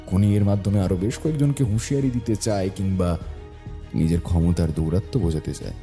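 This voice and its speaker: An actor playing a shrewd, self-assured private detective in an audio drama, reasoning aloud in a measured, dry way with thoughtful hums. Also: male voice, low voice, warm tone, faint music behind. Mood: pondering.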